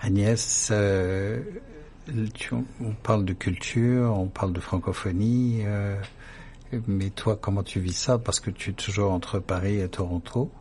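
A man's voice: lowish voice, steady tone, measured pace, a little mellow, a little hiss or hum. A man hosting a radio interview, friendly and joking, with short encouraging replies. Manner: friendly, jokey, short back-channel replies. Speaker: radio host.

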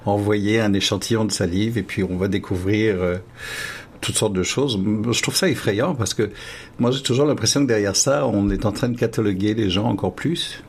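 A man's voice: lowish voice, normal speed, slightly rough. A man interviewing a guest conversationally, in a hesitant way that often repeats words. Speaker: interviewer, host.

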